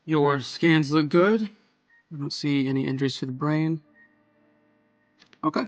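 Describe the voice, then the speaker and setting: A man playing a calm brain surgeon in an audio drama, with a cool, clinical, composed manner. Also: a little high, measured pace, no room sound. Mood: cool, professional.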